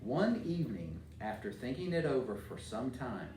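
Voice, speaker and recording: A man's voice: mid-range voice, sing-song, normal speed, dark tone. Distant, roomy-sounding American man addressing children. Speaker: mayor reading to children. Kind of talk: informal talk and storybook read-aloud for children. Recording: roomy echo, distant mic, faint noise.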